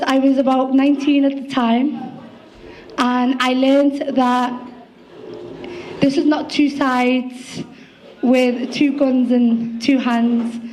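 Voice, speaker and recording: A woman's voice: sits high, a little mellow, slight background noise, rough recording. A young woman in her twenties with a Liverpool accent, a guest poet speaking earnestly to an audience, with some fillers. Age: 20s.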